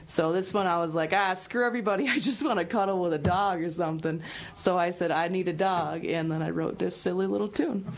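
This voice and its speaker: A woman's voice: lowish voice, fairly quick. A woman musician talking casually and jokingly as a guest on a radio show. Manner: joking, playful. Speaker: musician guest, singer-songwriter.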